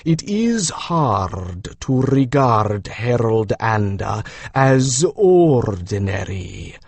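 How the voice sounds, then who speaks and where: Griffin character, male narrator acting: very slow, halting, formal delivery, sheepish yet proud, close dry audiobook sound. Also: fairly low pitch, big pitch swings, gravelly, darkish tone, husky, dry recording, pitched below the performer's own voice, exaggerated voice.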